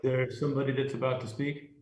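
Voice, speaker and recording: A man's voice: mid-range voice, flat delivery, quick pace, a little mellow. American man chairing, in a roomy echo. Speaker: commission chair presiding over a public meeting. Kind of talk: formal meeting procedure, unscripted. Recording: roomy echo, noisy meeting audio.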